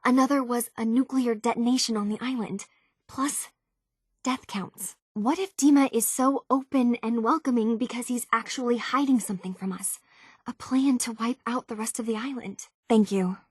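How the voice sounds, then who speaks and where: Game role: young female synth tinkerer, earnest and halting, raspy-soft texture, very dry studio sound. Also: a little high, dry recording. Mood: conspiratorial, worried, then hopeful. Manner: earnest, hesitant, conversational. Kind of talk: video game character dialogue.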